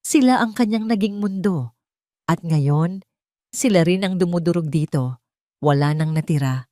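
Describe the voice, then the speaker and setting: Low-pitched woman narrating a dramatic story. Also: a little slow, dark tone, no echo, clean studio sound.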